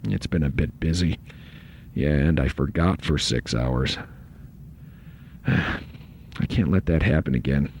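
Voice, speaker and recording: An actor playing a busy, wry starship first officer in a science fiction audio drama, dry and authoritative. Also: male voice, a bass voice, takes it slowly, pauses often, darkish tone, heavily acted. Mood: self-reproachful. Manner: dry, sardonic, muttering to himself. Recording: dull lo-fi sound with faint noise.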